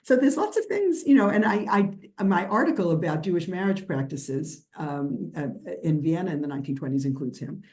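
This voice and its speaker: Older female historian, New York-raised, low mellow voice, rambling Q&A answers. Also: lively intonation, brisk, hardly any pauses. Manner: rambling, many restarts and fillers, laughs lightly. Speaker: guest lecturer, historian. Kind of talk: conversational lecture Q&A.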